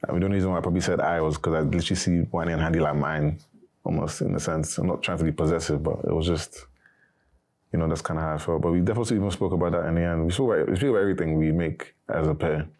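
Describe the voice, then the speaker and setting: A young man, a former reality show contestant, answering interview questions in a casual, monotone way. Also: sits low, very fast. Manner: monotone, not very animated.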